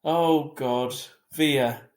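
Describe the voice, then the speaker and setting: A man's voice: a little high, fairly even intonation, very slow, roomy sound. A man voicing a worried, protective mother of a teenager in a storybook reading, fussing and pressing. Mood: apologetic.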